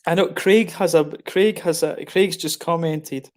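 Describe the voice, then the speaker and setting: Halting, laughing man hosting a stream. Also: fairly high pitch, fairly even intonation, a little mellow. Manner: halting, many restarts, laughs often. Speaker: stream host. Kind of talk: casual chat on a stream.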